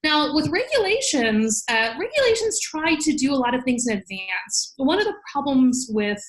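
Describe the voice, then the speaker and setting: An American woman with a slightly high voice gives explanatory interview answers. She is articulate and organized, laying things out plainly like a professor. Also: big pitch swings, moderate pace, poor audio. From United States. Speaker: law professor, podcast guest.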